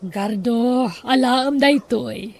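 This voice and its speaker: Woman playing a high-voiced generous lady boss. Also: drawn-out words, exaggerated voice. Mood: kindly, insistent. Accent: northern Philippine. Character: generous well-off female employer, kindly and insistent. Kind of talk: radio drama acting.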